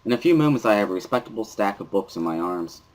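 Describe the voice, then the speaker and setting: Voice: low voice, lively intonation, quick pace, rough recording. A storyteller giving a dramatic reading of a first-person story as narrator.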